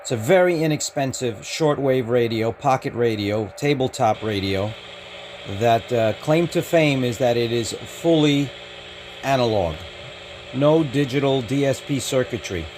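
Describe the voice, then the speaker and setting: A man with an average-pitched voice talks through a casual product review. He is matter-of-fact and tends to itemize in short, clipped phrases. The home-made recording has clearly audible noise behind him. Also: measured pace, darkish tone. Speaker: radio hobbyist reviewer. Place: indoors.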